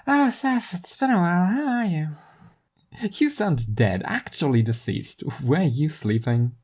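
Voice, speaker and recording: A performer voicing a perceptive, blunt woman on a call in an audio story, brisk and teasing. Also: medium pitch, expressive, a little mellow, pitched above the performer's own voice. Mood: dry, teasing. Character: perceptive, blunt female empath friend on a call.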